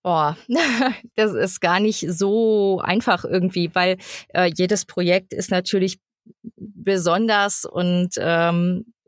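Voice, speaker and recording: A woman of about thirty talks casually as an interview guest. Her pitch sits a little low for a woman and the sound is very dry. She gropes for words and is quick to giggle. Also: dry recording. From eastern Germany (Lower Lusatia). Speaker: guest, actress and voice actor.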